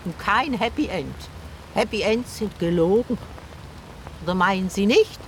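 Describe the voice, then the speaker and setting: A woman's voice: medium pitch, animated, unhurried, a little hiss or hum. An actress in a radio drama playing a talkative old river boatwoman with a wry, chatty, teasing manner. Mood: wistful, playful. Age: older.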